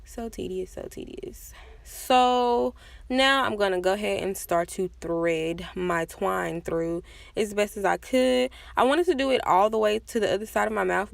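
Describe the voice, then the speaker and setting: A woman's voice: mid-range voice, gravelly, no echo. A woman giving a casual, chatty makeup tutorial voice-over with self-deprecating asides. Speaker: makeup vlogger.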